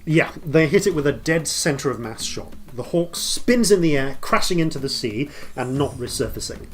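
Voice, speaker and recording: Lively game master narrating battle. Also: medium pitch, normal speed. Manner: lively, lilting narration with laughs.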